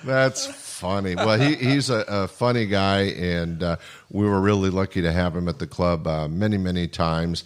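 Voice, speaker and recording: A man's voice: sits low, normal speed. A man hosting a conversational interview, speaking in a complimentary, rambling way. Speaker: podcast host interviewing guests.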